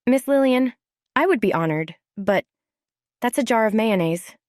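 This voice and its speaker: A woman voicing an eager, polite teenage girl in an audio story, earnest and eager to smooth things over. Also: on the high side, animated, moderate pace, darkish tone, dry recording, clean studio sound, natural voice. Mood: friendly, amused. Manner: earnest, placating. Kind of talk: scripted audio story character.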